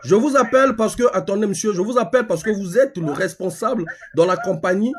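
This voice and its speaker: A man from Congo with a Congolese accent and a husky voice, speaking insistently as a live-stream host, repeating phrases and asking rhetorical questions. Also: high-pitched, a little fast. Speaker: online activist host. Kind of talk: live-stream monologue in colloquial speech, with a phone call.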